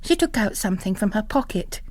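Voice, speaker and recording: A woman's voice: medium pitch, expressive, brisk, a little mellow, no echo. A woman reading literary fiction as a narrator, in a dramatic audiobook style.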